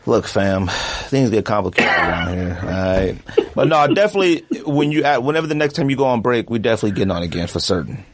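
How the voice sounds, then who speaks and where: Lively, animated male podcast host. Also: fast, slight background noise. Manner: animated, jokey, pitch jumps up when excited. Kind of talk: casual chat.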